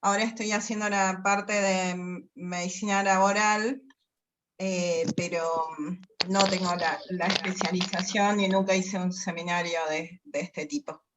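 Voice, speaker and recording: An Argentine woman speaks slowly and haltingly, with many pauses as she introduces herself over a video call. Her pitch sits in an ordinary middle range. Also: steady tone, fairly bright tone, poor audio. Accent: Argentine Spanish. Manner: unhurried, hesitant with fillers. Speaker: physician, course participant.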